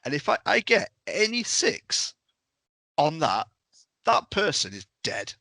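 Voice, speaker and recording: A man's voice: fairly high pitch, huge pitch swings, slow, creaky, sharp tone, no room sound, studio quality. A man in a casual podcast discussion, talking emphatically as a game master and acting out his examples.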